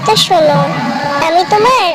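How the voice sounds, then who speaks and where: A woman's voice: very high voice, animated, normal speed, very noisy. A woman voicing a friendly, pleading village girl in a children's cartoon. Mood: coaxing. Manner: coaxing, pleading. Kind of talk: Bengali children's cartoon dialogue. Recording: loud music under the voice.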